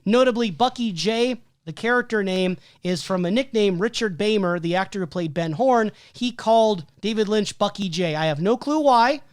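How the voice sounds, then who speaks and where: A man's voice: high-pitched, normal speed, few pauses, clear, resonant tone, dry recording, studio quality. A man co-hosting a podcast, reading prepared film analysis in a methodical way while losing his voice. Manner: methodical, listing details with frequent "um" and "like". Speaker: podcast co-host.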